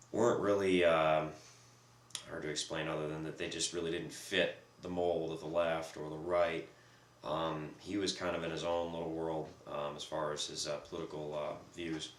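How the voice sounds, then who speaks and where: A man recovering from a cold, hoarse and hesitant, filling pauses with ums as he co-hosts a casual discussion. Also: extremely low pitch, fairly bright tone. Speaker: co-host. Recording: echoey room, distant mic, faint noise.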